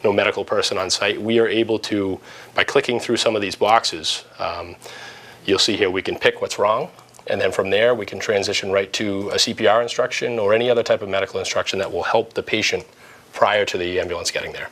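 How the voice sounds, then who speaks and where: A man's voice: on the low side, fairly even intonation, a little fast, fairly bright tone. A man explaining things informally, step by step, as a supervisor giving an interview and tour. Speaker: police dispatch supervisor. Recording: clearly noisy room ambience.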